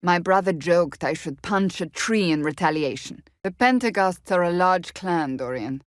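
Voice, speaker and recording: A woman's voice: fairly low pitch, expressive, moderate pace, no room sound, clean studio sound, natural voice. An actress playing a stern, devout, blunt warrior woman in a video game, speaking formally and earnestly in clipped phrases. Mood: formal, frank. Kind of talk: video game character dialogue.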